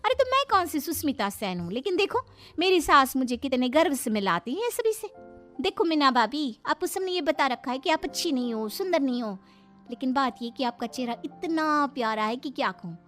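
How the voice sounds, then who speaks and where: Brief: actress as supportive, frank young neighbour woman, very high voice, cartoon moral tale, music behind. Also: very animated, normal speed, fairly bright tone. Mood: sympathetic. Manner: chatty, frank, encouraging.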